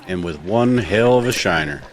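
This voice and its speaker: A man's voice: low voice, lively intonation, normal speed, slight background noise. A man playing a teasing, brash drinking buddy in an audio drama.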